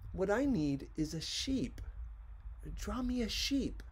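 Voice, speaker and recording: A man voicing a grave, polite and insistent little boy prince in a storybook, speaking deliberately and seriously. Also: sits high, sing-song, breathy edge, pitched above the performer's own voice, heavily acted.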